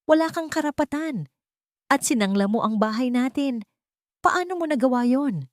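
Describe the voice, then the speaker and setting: A woman's voice: high voice, lively intonation, normal speed, no room sound, studio quality, pitched above the performer's own voice. A woman voicing a frightened but defiant mother in a dramatic story, trembling yet firm. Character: frightened but defiant young mother protecting her children.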